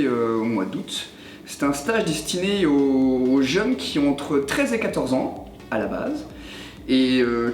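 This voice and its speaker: A man in his forties, a youth football coach, speaking in a measured interview with frequent hesitations. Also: medium pitch. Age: 40s. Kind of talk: documentary interview. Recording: roomy, distant mic.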